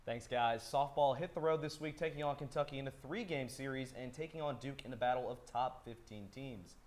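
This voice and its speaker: A young man reading a sports report as a student anchor, with a steady, even delivery. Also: medium pitch, a little fast. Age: young adult. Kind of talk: read news script.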